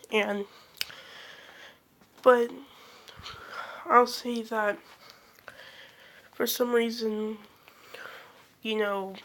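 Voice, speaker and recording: Slow, hoarse young woman vlogging. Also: medium pitch, a bit bright, a little hiss or hum. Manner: rambling, many fillers and self-corrections. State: losing her voice, sick. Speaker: channel host. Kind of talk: casual fan-theory chat.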